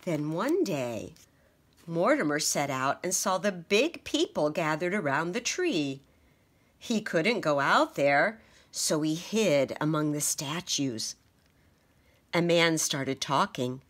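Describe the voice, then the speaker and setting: Slow, expressive woman reading a picture book. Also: low-pitched. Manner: expressive, gentle storytelling. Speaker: teacher reading aloud. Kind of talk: children's picture book read-aloud.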